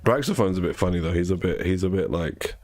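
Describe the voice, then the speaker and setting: A young man with a notably low, nasal voice chats loosely to his viewers while gaming. He rambles, restarts his sentences and corrects himself as he goes. The sound is dry, with no room to it. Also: lively intonation, fast, a little mellow, no room sound. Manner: rambling, self-correcting, chatty. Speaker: game streamer. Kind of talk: casual chat while gaming.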